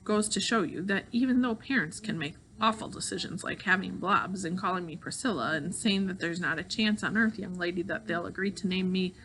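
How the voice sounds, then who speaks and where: A woman's voice: mid-range voice, a little fast, hardly any pauses, a bit bright, a little hiss or hum, lightly acted, rough recording. A woman voicing a precocious, dramatic little girl who tells her life story earnestly and in grand words. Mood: indignant.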